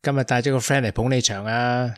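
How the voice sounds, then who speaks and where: A man's voice: medium pitch, fairly even intonation, a little fast, fairly bright tone, dry recording, natural voice. A man with a Hong Kong Cantonese accent playing a talkative, teasing young office worker in a radio drama.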